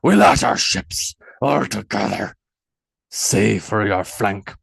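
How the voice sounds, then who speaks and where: A man voicing a bold, commanding Norse warrior king who stresses each word loudly. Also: fairly low pitch, very animated, takes it slowly, gravelly, breathy edge, dry recording, studio quality, heavily acted.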